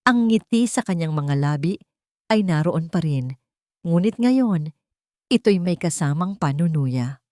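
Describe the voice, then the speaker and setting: A woman's voice: fairly low pitch, lively intonation, unhurried, pauses often, a little mellow, no room sound, studio quality. A woman reading a scripted dramatic audio story as narrator.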